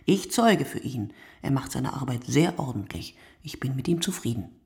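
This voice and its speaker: An actress playing a weary, observant woman in a radio play monologue, speaking in measured, short phrases with a husky, breathy voice that often drops to near whisper. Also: a bass voice, animated, darkish tone, no echo, clean studio sound, exaggerated voice. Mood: reflective. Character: weary, observant woman watching early-morning street from her window. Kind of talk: literary radio play monologue, scripted narration.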